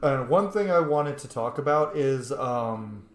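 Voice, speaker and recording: A young man chats through a game with casual enthusiasm. His mid-pitched voice is highly animated and exuberant, recorded in an echoey room with faint music behind it. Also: measured pace. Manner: exuberant, chatty. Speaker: gaming streamer.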